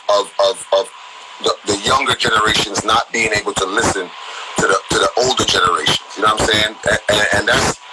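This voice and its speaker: A man's voice: medium pitch, background noise. Stammering male live-stream host. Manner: stammering repetitions, conversational. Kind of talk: casual live-stream talk.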